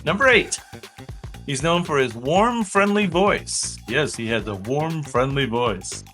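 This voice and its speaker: A man's voice: on the high side, animated, takes it slowly, a bit bright, faint music behind. A man hosting a casual live trivia show, reading out facts and adding chatty, rambling asides.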